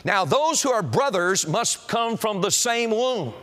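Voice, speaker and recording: A middle-aged or older male preacher with a high-pitched voice. He delivers an evangelistic sermon to a congregation in an auditorium, emphatic and declamatory, pressing solemn warnings on his listeners. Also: very animated, measured pace, a bit bright.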